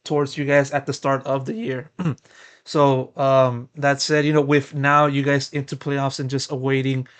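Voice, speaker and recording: A man's voice: mid-range voice, little pitch movement, moderate pace, a bit bright, clear, resonant tone. A young man interviewing a player, asking long, meandering questions full of "you know" and repeated words. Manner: rambling, repeats words, many you-knows. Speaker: esports interviewer.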